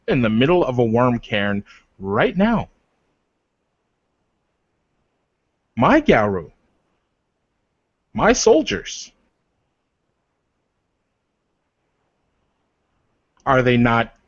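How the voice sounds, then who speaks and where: A man's voice: mid-range voice, no echo, lo-fi sound, lightly acted. A man playing a proud, wounded werewolf war leader in a tabletop roleplay, speaking formally and defensively. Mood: indignant.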